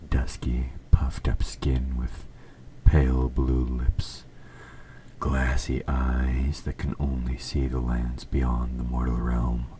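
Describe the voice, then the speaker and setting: A man playing an evil, possessive king in an audio roleplay, speaking formally and with measured, quiet menace, his S sounds sharp. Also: a bass voice, completely flat delivery, a little hiss or hum. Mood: quietly menacing. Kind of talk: scripted audio roleplay, intimate dramatic monologue.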